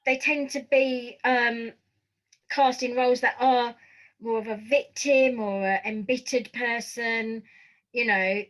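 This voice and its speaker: A woman's voice: a little high, fairly even intonation, takes it slowly, a bit bright, low-quality recording. A woman hosting a conversational podcast interview, affirming and encouraging her guest.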